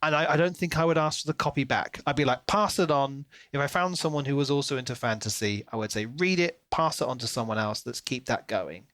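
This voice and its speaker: A man's voice: mid-range voice, brisk, few pauses, a bit bright, no room sound. A man co-hosting a podcast, chatting casually about books and slipping into imagined quotes as he talks. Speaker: podcast co-host. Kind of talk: casual book discussion.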